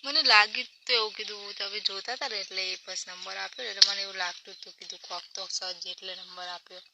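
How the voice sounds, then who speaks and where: A woman's voice: mid-range voice, fairly even intonation, a little fast, creaky. Gujarati woman on a very noisy phone call. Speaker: caller. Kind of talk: casual flirtatious phone chat.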